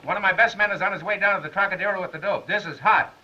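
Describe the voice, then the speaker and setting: An actor playing a gruff, impatient, skeptical male newspaper boss in a 1930s film. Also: sits high, rapid-fire, bright, forceful tone. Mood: urgent, brusque. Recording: dull 1930s film soundtrack.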